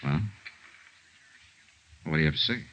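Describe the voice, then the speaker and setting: An American actor playing a brisk, hard-nosed insurance investigator and narrator in a 1950s radio serial, with a clipped, dry, businesslike delivery. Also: male voice, rumbling low, animated, slight background noise. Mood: businesslike, probing. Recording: muffled 1950s radio recording.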